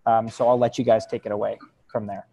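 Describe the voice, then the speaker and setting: A man introducing a call as director of communications, speaking hesitantly with frequent pauses. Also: fairly low pitch, flat delivery, gravelly, warm tone. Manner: hesitant, many ums. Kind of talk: meeting introduction. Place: video call.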